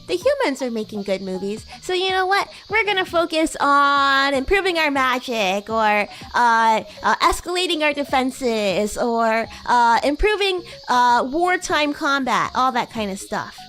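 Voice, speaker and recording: A woman's voice: high-pitched, expressive, unhurried, few pauses, a bit bright, faint music behind. A woman voicing a bratty, sweet young demon girl in casual roleplay chat, with hesitant, girlish delivery. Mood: chatty. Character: bratty, sweet young female demon classmate from another world. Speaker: VTuber streamer.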